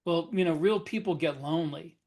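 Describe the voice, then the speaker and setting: A man with a somewhat high voice argues his view on a podcast with earnest insistence. He keeps a casual, conversational flow. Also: fairly quick, darkish tone. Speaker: podcast co-host, author. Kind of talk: casual chat.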